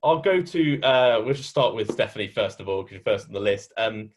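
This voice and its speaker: A man's voice: on the low side, expressive, brisk. A man hosting an online meet-up and moderating a panel, speaking casually with many ums and restarts. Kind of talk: casual moderating on a video call.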